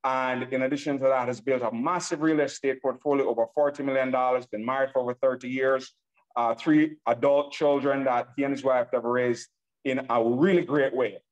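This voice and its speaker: A man's voice: mid-range voice, steady tone, fairly quick, dull audio. A man from Nigeria giving an enthusiastic sales presentation on a laptop video call, repeatedly calling out to the audience. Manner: enthusiastic and hype-driven, repeats himself, addresses audience as ladies and gentlemen. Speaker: presenter of an online business webinar.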